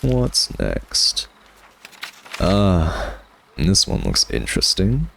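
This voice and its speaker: A man's voice: deep voice, wildly swooping pitch, extremely slow, vocal fry, fairly bright tone, a little hiss or hum, exaggerated voice. A man playing a smug male vampire in an ASMR roleplay, taunting a captured hunter with a sardonic, teasing, conversational drawl. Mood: amused snooping.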